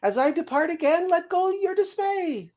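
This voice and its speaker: Someone putting on a chirpy, high-pitched proud storybook squirrel. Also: expressive, moderate pace, darkish tone. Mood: eager. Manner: chirpy, theatrical rhyming speech. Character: proud scampering squirrel messenger, quick and chirpy. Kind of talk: storybook verse recital.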